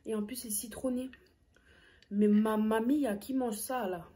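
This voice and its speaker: A woman's voice: on the high side, fairly even intonation, a little slow, warm tone, a little hiss or hum. A young woman telling a story casually while eating on camera, chatting with lots of hesitant fillers, in a small room close to the camera. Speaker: food vlogger.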